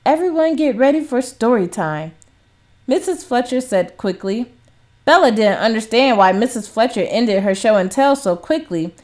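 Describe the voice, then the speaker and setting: A woman reading a children's story aloud as scripted, expressive narration. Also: fairly high pitch. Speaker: storybook reader.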